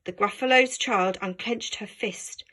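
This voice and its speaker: A woman reading a children's story aloud as a teacher, expressive and dramatic, engaging young listeners. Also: medium pitch, a little slow.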